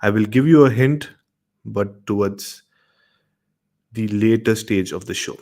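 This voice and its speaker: A man's voice: on the low side, a little slow, darkish tone. A man in his thirties from Maharashtra, India, with an Indian accent, hosting and narrating a horror story show. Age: 30s.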